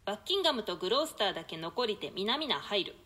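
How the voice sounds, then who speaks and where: A woman's voice: mid-range voice, very animated, fast, sharp tone. A woman narrating a classical play, reading out the stage directions and character entrances from the script.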